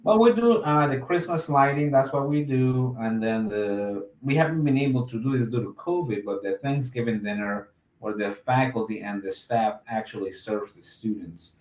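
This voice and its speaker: A man's voice: medium pitch, moderate pace, darkish tone, reverberant, poor audio. A man from Mexico, an admissions officer, answering interview questions in a casual, upbeat way with many fillers.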